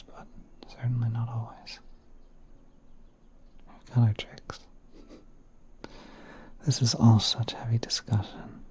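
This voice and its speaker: A man playing a gentle, courteous spider prince in an ASMR roleplay, speaking in a soft, breathy half-whisper with hesitant, unhurried politeness. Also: medium pitch, noisy background, natural voice. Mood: gentle, charmed. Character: gentle, courteous spider prince soothing a guest in his garden.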